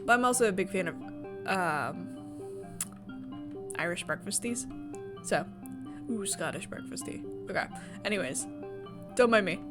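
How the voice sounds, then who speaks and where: Woman narrating over clearly audible music. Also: medium pitch, expressive, measured pace, pauses often. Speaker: streamer reading a visual novel aloud. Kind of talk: story narration with chatty asides.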